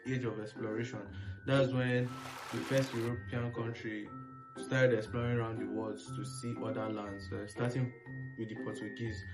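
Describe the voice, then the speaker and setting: A man's voice: mid-range voice, level tone, normal speed, poor audio. A man with a Nigerian accent speaking as a panelist in a casual, educational discussion, with a reflective, explanatory manner. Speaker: panelist on a history discussion series. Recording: music playing behind the voice.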